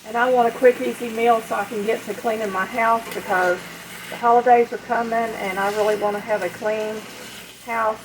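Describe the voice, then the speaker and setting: A woman chatting casually and in a folksy, unhurried way as she demonstrates home cooking. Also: fairly high pitch, fairly even intonation. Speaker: home cook hosting a recipe video. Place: home kitchen at the stove. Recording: clear background kitchen noise, frying sizzle. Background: sizzling skillet, stirring.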